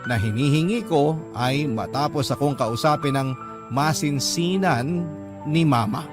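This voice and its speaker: A man's voice: a little high, unhurried, close to an everyday voice. A man narrating a sentimental first-person story in a solemn, polite, reflective way. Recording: prominent music bed behind narration.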